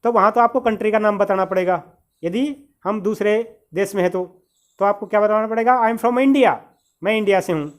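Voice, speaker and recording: A man's voice: high voice, a little fast. A man from Haryana, teaching beginner spoken English. He patiently repeats each phrase and calls his listeners "brother".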